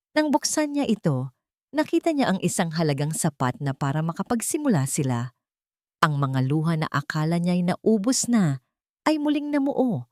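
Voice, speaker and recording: Woman storyteller, low voice, dramatic scripted love story narration, dry sound. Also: mellow, no echo.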